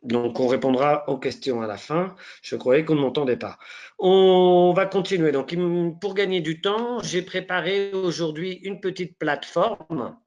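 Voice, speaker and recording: A man with a head cold giving a live online software demonstration, talking in a hesitant way with many fillers and restarts. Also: fairly high pitch, fairly even intonation, measured pace, dull audio. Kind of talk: live software demo walkthrough.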